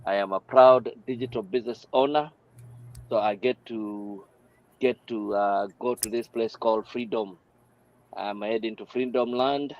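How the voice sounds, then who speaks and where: A man from Kenya, a business coach introducing himself enthusiastically on a live stream, pausing often with fillers. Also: on the low side, gravelly. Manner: enthusiastic, hesitant with many uhs. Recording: dull lo-fi video call audio.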